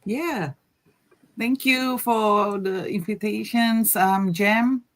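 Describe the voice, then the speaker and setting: A woman from Indonesia in her fifties, a guest on a live panel, speaking hesitantly with many pauses and "um"s. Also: medium pitch. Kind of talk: casual panel chat.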